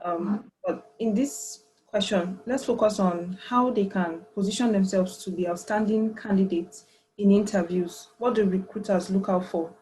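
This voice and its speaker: A woman's voice: medium pitch, normal speed, mellow, a little hiss or hum, rough recording. A woman hosting an interview, asking questions and listening closely with frequent short murmurs of agreement. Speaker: interview host.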